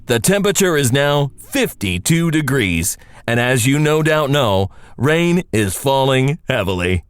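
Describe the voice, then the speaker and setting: A man's voice: medium pitch, sing-song, unhurried, smooth, no echo. An actor playing a laid-back, sardonic radio newsman and disc jockey in an audio drama, with a wry, casual manner. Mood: wry newsreading. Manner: wry, casual, dry-humored. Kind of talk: naturalistic audio drama acting.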